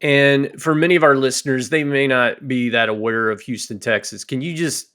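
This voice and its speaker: A man's voice: mid-range voice, normal speed, bright, forceful tone. A man hosting a church-leadership podcast, welcoming a guest with upbeat enthusiasm. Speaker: podcast host.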